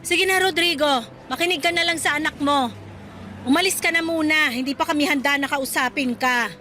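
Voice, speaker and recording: A woman's voice: sits high, a little fast, sharp tone, slight background noise. A woman playing a loving, hardworking middle-aged mother in a Filipino radio drama, with an affectionate, motherly manner. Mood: weary, firm. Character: loving, teasing, hardworking eatery-worker mother.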